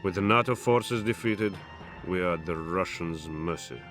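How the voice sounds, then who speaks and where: A man acting a weary-hearted, resigned resistance fighter in a game cutscene. His voice is very low and he speaks with solemn fatalism. Music plays clearly behind him. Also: moderate pace, close to an everyday voice. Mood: grim, resigned. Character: grim, resigned male resistance fighter facing defeat. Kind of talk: scripted game cutscene dialogue.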